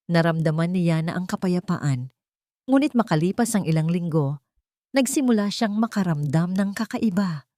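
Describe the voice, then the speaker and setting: A woman reads scripted romantic drama as a storyteller. Her voice sits a little low but moves expressively up and down. The recording is dry, with no room sound. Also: warm tone, no room sound.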